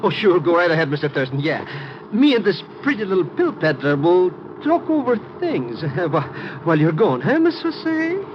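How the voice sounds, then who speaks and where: An actor playing a fawning, shady, wordy hustler with an oily manner in a 1950s radio drama. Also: male voice, high-pitched, sing-song, moderate pace. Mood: brash, flirtatious. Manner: oily, wordy, redundant malapropisms. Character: fawning, shady, garrulous little hustler posing as financier. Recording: noisy muffled 1950s radio recording.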